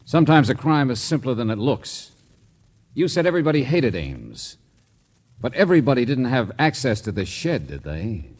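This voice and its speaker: An actor playing a brisk, hard-boiled private investigator in a vintage radio drama, blunt and probing as he questions people. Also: medium pitch, very animated, a little mellow. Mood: probing, dry.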